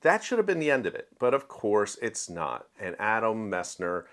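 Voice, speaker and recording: Male book reviewer, somewhat low register, chatty and opinionated, emphatic delivery, plain everyday talk. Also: very animated, moderate pace, a bit bright. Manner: conversational, acts out quoted dialogue in everyday voice. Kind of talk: casual opinionated review to viewers.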